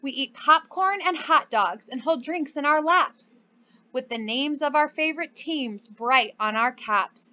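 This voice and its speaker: A woman's voice: sits high, measured pace, sharp tone, lo-fi sound. A young woman, a teacher speaking to small children in a simple, encouraging way. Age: young adult. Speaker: preschool teacher. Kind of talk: children's lesson and storybook read-aloud.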